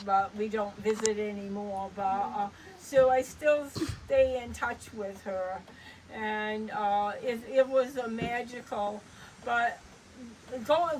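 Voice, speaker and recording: An older woman from Maine with a Maine accent, telling rambling stories with many pauses and laughs in a casual family interview on a porch. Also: a little high, recorded from a distance, slight background noise, rough recording. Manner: rambling, halting storyteller who laughs often. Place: front porch outdoors.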